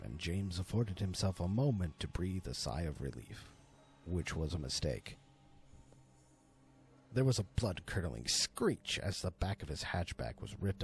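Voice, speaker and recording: Deep gravelly man narrating a horror tale. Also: darkish tone. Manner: theatrical, deliberate, swooping delivery. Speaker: storyteller and amateur voice actor. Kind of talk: dramatic horror story narration.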